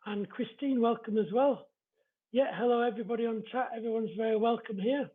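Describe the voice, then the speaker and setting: A male livestream host with a distinctly high-pitched voice and an East Yorkshire accent. He talks in a welcoming, chatty, polite way, as if greeting viewers one by one. The audio is thin and lo-fi, with a narrow phone-like band. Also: brisk. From East Yorkshire, England. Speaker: spiritual medium hosting a live show.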